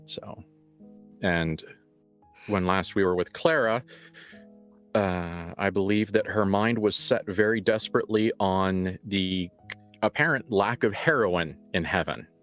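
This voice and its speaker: Low-voiced man, slightly dull audio. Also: measured pace, a bit bright. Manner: wordy, searching, probing questions. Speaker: game master. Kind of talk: improvised tabletop game discussion. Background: music.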